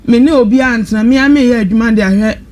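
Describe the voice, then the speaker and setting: A woman's voice: on the high side, rapid-fire, a little mellow. A woman with a Ghanaian accent giving a personal account as a guest, answering questions on a talk show. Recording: clearly noisy live radio recording.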